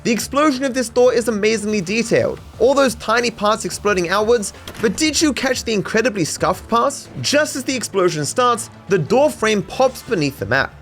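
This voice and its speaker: A man's voice: sits high, expressive, normal speed, hardly any pauses, fairly bright tone, faint music behind. A man with an Australian accent narrating a scripted comedic video essay with dry, mock-serious sarcasm.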